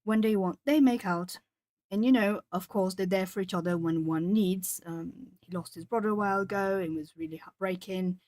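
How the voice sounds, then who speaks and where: A woman's voice: mid-range voice, darkish tone, dry recording. A woman from Brazil, a native Portuguese speaker with a southern Brazilian accent, chatting casually with viewers and reading their comments aloud while she colours. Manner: chatty, reads chat comments aloud, pauses to think. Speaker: art streamer.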